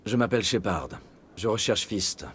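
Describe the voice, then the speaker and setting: An actor playing a resolute, no-nonsense military commander in a video game, terse and businesslike. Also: male voice, on the low side, expressive, moderate pace, fairly bright tone, husky, heavily acted.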